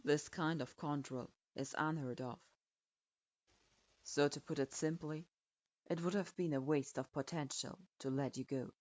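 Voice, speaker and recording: A woman voicing an imposing male clan head who speaks calmly, in a measured and patronizing way, recorded with a dull lo-fi sound. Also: deep voice, dry recording, voice pushed down. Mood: appraising.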